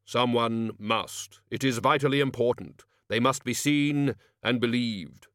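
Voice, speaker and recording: A male narrator performs a grim, humourless immortal in a comic fantasy storybook. He speaks gravely and deliberately, as if each word were fact. The recording is dry. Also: mid-range voice, a bit bright, no room sound, natural voice. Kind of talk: audiobook character acting.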